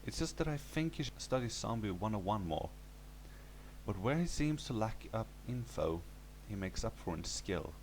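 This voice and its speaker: Deep voiced guy reading a zombie-type horror story, bit of hum on the recording. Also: animated, moderate pace, darkish tone, rough recording. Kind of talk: scripted first-person story narration.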